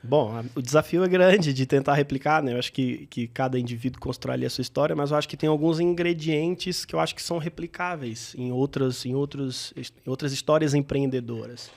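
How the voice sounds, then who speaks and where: A man, a guest entrepreneur telling his story thoughtfully in an interview, pausing and restarting as he thinks. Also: medium pitch, gravelly. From Bahia, Brazil. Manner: reflective, with frequent hesitations and restarts.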